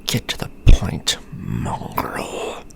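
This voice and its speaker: A man playing a haughty, centuries-old vampire lord in an ASMR fantasy roleplay, speaking with pompous, deliberate contempt. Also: a bit bright. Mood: bitter, angry.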